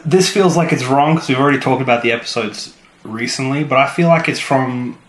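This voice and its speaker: A man's voice: medium pitch, brisk. A man co-hosting a casual podcast, reacting and asking questions in a dry, sceptical, wry manner. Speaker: podcast co-host, the fool. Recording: roomy echo, faint music behind.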